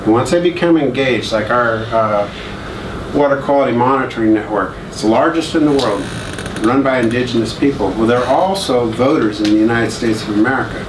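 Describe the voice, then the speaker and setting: A man's voice: mid-range voice, a little fast. Guy giving a laid-back talk, jokey stories, distant mic, room echo. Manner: relaxed, joking storyteller. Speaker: guest speaker. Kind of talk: informal lecture with anecdotes. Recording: echoey room, distant mic, air-conditioning hum.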